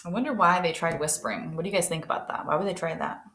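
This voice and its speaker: A woman's voice: lowish voice, quick pace, fairly bright tone, roomy sound, slight background noise. A woman teacher reading a picture book aloud expressively and putting questions to young listeners.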